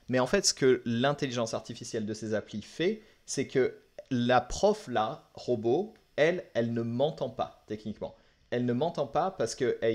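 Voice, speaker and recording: A man's voice: mid-range voice, a little mellow. A young French man, a language teacher, reviewing apps in a casual vlog, frank and thinking aloud with many fillers. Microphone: camera mic.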